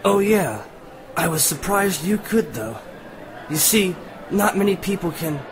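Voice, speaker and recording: A man playing a friendly, easygoing teenage boy in an amateur audio drama, casual and relaxed. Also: high voice, measured pace. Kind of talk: amateur radio drama acting. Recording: noisy, busy crowd ambience behind.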